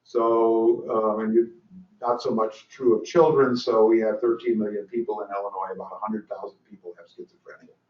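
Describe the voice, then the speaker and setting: A man's voice: fairly low pitch, little pitch movement, normal speed. An older man giving a lecture at a training session, talking with dry humor and lots of ums and restarts. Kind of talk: conference lecture. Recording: roomy, distant, dull lecture recording.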